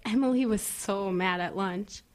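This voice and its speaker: A woman's voice: mid-range voice, a little slow, a little mellow, close to an everyday voice. A woman playing a moody, defensive teenage schoolgirl in naturalistic audio drama dialogue. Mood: puzzled.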